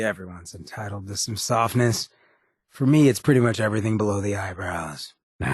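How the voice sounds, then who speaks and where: Cocky irreverent ghoul mayor for a game, super raspy, lowish pitch, american, streetwise and sardonic, dry close sound. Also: male voice, no room sound. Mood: wry. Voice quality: raspy, gravelly. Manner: streetwise, sardonic drawl with slang. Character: roguish, irreverent male ghoul mayor, a swaggering companion. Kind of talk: video game character dialogue.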